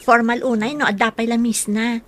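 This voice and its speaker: A woman's voice: fairly high pitch, fairly even intonation, moderate pace, lightly acted. A woman playing a friendly, informal young university student in a radio drama.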